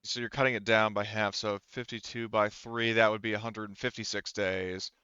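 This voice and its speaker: Bright, clear-voiced man running a tabletop game. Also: fairly low pitch, flat delivery, normal speed, no room sound, muffled recording. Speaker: game master. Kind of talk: casual tabletop roleplaying game narration.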